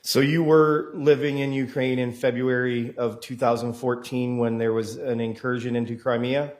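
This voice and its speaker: A man's voice: medium pitch, little pitch movement, normal speed. Male defense attorney questioning a witness. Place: courtroom.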